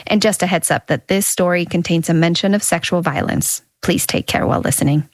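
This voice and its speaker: A woman radio host narrating a scripted news story in a measured, clear way. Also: on the low side, lively intonation.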